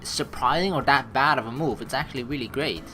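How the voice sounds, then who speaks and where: A woman doing chatty, slangy let's play commentary and reading game story text aloud with expressive reactions. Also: rumbling low, fairly quick, soft background music.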